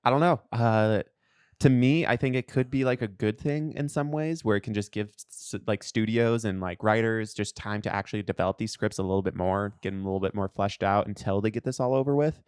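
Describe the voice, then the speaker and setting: A man's voice: lowish voice, steady tone, brisk, darkish tone, smooth, no room sound, studio quality. A man co-hosting a casual pop culture podcast, with a jokey way of talking. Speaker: podcast co-host. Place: podcast studio.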